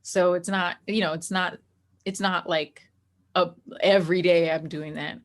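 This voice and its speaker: An American woman chatting casually as an interview guest, animated and anecdotal. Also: lowish voice, unhurried. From New Jersey, United States. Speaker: interview guest, actor and game master. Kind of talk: casual chat.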